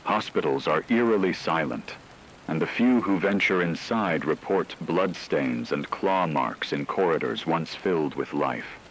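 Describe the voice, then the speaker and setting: A man playing a grave radio announcer, solemnly reading scripted horror narration in a measured, ominous way. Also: sits low, expressive, a little hiss or hum, low-quality recording. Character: grave male radio announcer reporting a werewolf apocalypse. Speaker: radio emergency broadcast announcer.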